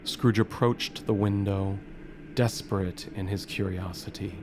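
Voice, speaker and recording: A man giving solemn, deliberate dramatic narration of a story. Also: lowish voice, fairly even intonation, noisy background.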